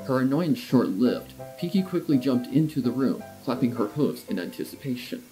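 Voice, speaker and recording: A man reading scripted fan fiction as an expressive narrator telling a story. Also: medium pitch, normal speed, low-quality recording. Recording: guitar music behind the voice.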